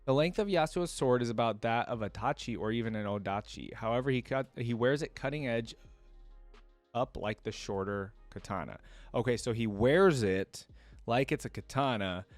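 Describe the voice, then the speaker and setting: A man's voice: lowish voice, normal speed, no echo, faint music behind. A man hosting a reaction video, thinking aloud and talking to viewers in an informal, slangy, chatty way.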